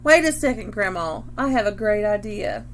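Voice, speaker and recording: A woman's voice: medium pitch, lively intonation, normal speed, slight background noise, close to an everyday voice. A woman voicing an eager, pleading young schoolgirl in a children's storybook.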